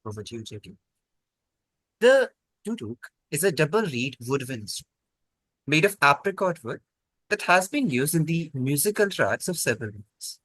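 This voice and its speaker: A man hosting a quiz, reading questions out in a measured, precise, polite way. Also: fairly high pitch, very animated, a bit bright, slightly rough, no echo. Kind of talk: formal quiz question reading over an online call.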